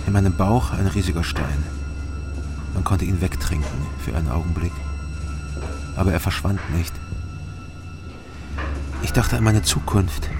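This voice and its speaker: Low, gravelly, haunted East German man. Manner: terse, brooding. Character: haunted, guilt-ridden East German man narrating his memories. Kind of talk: radio crime drama, first-person narration and dialogue.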